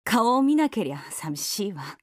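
A woman acting a fierce but soft-hearted younger sister in a radio drama. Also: mid-range voice, big pitch swings, measured pace. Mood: gentle, resigned.

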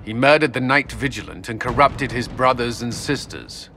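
Stern officer, firm bright voice, formal, grave, fantasy game, music behind. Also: male voice, medium pitch, lively intonation, moderate pace. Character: stern, grave male military officer accusing a prisoner. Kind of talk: dramatic fantasy game dialogue.

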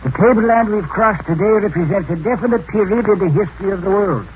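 A mysterious old hermit of the wilds, played by a man, folksy and philosophical, his drawl set fairly high. He sounds like an old radio broadcast with a faint hiss behind him. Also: quick pace, lightly acted, rough recording. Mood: musing. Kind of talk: 1940s radio acting.

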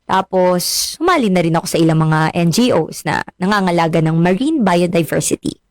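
An actress playing a friendly, modest young marine biologist in a radio drama, with a Filipino accent and a conversational delivery. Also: female voice, lowish voice, lively intonation, moderate pace, no echo, clean studio sound. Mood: casual.

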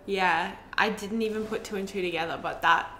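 A woman's voice: medium pitch, steady tone, a little fast, a bit bright. A young woman casually reacting and chatting as she plays a video game. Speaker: gaming channel co-host. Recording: roomy, noisy room mic over game audio.

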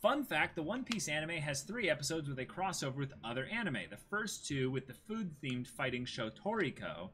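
Young man, stream host, lively intonation, bright tone, casual chat, faint background noise. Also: fairly high pitch, brisk, few pauses. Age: young adult. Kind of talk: casual livestream chat and reading aloud. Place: small indoor room with backdrop.

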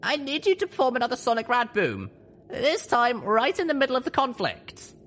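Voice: mid-range voice, wildly swooping pitch, moderate pace, fairly bright tone, voice pushed up. A narrator voicing an earnest, determined young female pony leader, speaking solemnly and urgently in a story reading. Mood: solemn, commanding. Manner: solemn, urgent speech-making.

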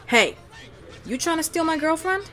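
A woman's voice: very high voice, expressive, normal speed, pushed and bright, a faint crowd, full character voice. A woman playing a tough, protective, blunt teenage girl from a 1950s gang in a comic dub. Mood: mock-threatening. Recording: music behind the voice.

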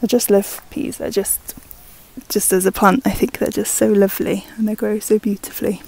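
A young woman giving a relaxed, chatty walkthrough of her land and barn, with plenty of fillers and soft laughs, recorded on an earphone mic outdoors. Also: medium pitch, moderate pace, vocal fry, husky, poor audio. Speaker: vlogger. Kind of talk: casual walkthrough narration.

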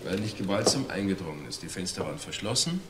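Assistant detective, crime drama, low register, clipped factual remarks, noisy recording. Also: male voice, animated, fast talker. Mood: matter-of-fact. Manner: terse, understated. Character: matter-of-fact male assistant detective.

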